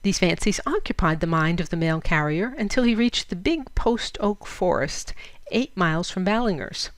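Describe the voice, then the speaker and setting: A woman's voice: mid-range voice, dry recording. A woman narrating a literary short story expressively, as in an audiobook.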